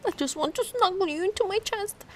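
A woman's voice: very high voice, expressive, brisk, darkish tone, slight background noise. A woman from Italy playing a giant, affectionate, bubbly young mermaid in a cutesy, cooing ASMR roleplay. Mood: delighted, affectionate. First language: Italian. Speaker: roleplay performer.

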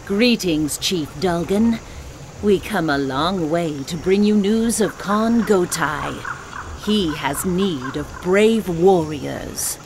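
A woman's voice: on the low side, animated, slow, noisy background. An actress playing a wise, devout orc shaman in a video game, solemn and clipped in her phrasing. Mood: diplomatic. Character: wise, devout female orc shaman, peace-minded and cunning. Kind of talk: video game cutscene acting. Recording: game music behind the voice.

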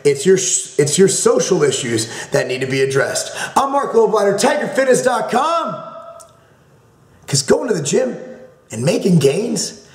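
Brash, high-voiced man in an echoey room. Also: very animated, unhurried. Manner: profane, brash, emphatic, tough-love. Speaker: fitness channel host. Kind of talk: blunt advice vlog answering a viewer question. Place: small home office, indoors.

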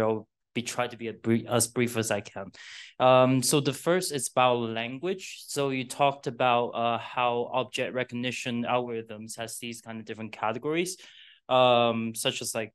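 A man asks a panel a string of questions from the audience, his voice mid-pitched and ordinary. He speaks haltingly, filling pauses with uh and um and restarting his phrases. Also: clear, resonant tone. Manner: halting, many uh and um fillers. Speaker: audience member. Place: lecture room.

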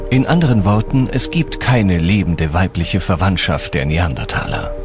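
A man's voice: deep voice, expressive, normal speed. A man reading scripted documentary narration as the narrator. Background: background music.

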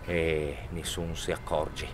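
A man, the show's technician, speaking in a with a halting, mock-poetic delivery outdoors in a village square. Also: very deep voice, little pitch movement, slight background noise. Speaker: show technician.

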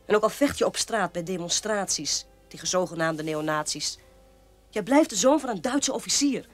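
An actress playing a mocking, sharp-tongued sister in a radio play, needling and scornful. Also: female voice, fairly low pitch, expressive, moderate pace, faint music behind, lightly acted. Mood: scolding, insistent.

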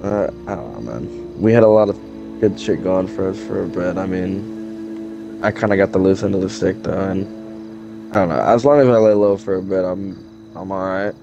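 A man's voice: sits low, normal speed, gravelly, highs cut off, music in the background. A man playing a jittery, foul-mouthed drug dealer in hiding in an improvised game roleplay, with a hesitant, rambling delivery. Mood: evasive, uneasy. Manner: hesitant, rambling, profane slacker talk.

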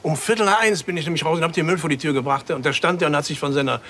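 Grumbly innkeeper in a radio play, creaky voice, talks fast, blunt. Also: male voice, fairly high pitch, fairly bright tone. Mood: matter-of-fact. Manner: blunt, sarcastic complaining. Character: grumbling, disillusioned male inn landlord. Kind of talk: audio drama dialogue.

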